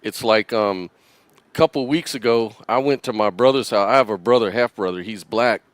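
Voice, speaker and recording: A man telling a rambling story in a relaxed, casual way on a podcast. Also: lowish voice, moderate pace, no room sound.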